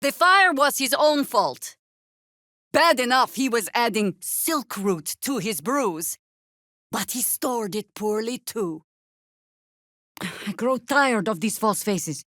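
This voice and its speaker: A woman's voice: a little high, animated, fairly bright tone, no echo. An actress playing a world-weary older female druid warrior in a video game, dry, wry and commanding. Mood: weary, sardonic. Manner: dry, wry, measured and grave.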